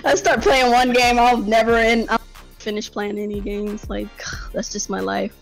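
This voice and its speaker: A woman's voice: fairly high pitch, little pitch movement, moderate pace, vocal fry, soft background music, rough recording. A woman hosting a casual game talk show, blunt and swearing freely. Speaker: podcast host. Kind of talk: casual chat about games.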